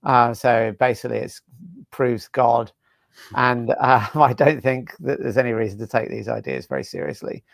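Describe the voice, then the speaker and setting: A man with a gravelly edge to his voice speaks as an interview guest, recorded dry and close. His delivery is brisk, with dismissive asides. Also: mid-range voice, no room sound. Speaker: guest, co-author. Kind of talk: casual interview talk.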